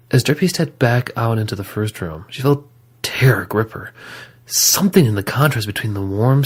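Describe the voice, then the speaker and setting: A man's voice: on the low side, slightly rough. A man reading scripted story narration as the narrator of an audio drama.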